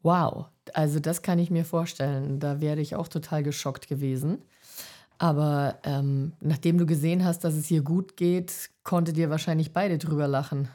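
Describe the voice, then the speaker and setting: Female podcast interviewer, lowish and mellow, casual chat delivery. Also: fairly even intonation, moderate pace.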